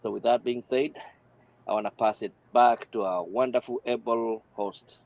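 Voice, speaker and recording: Man, mid-pitched voice, eager with lots of uhs, muffled lo-fi call audio. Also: steady tone, moderate pace, gravelly. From Kenya. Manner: enthusiastic, hesitant with many uhs. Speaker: business coach. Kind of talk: casual introduction on a live stream. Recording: dull lo-fi video call audio.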